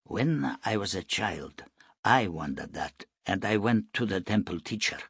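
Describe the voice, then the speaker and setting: A man voicing a gentle, wise wandering holy man in a storybook, speaking in a calm, measured, solemn way. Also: on the low side, vocal fry, husky, no room sound, studio quality, exaggerated voice. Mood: gentle, reflective.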